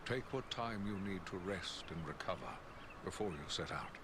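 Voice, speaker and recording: An actor playing a grave, courteous elven lord in a fantasy game, speaking in a formal, measured, stately way. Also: male voice, deep voice, animated, background noise. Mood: courteous, solemn. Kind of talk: fantasy video game voice acting.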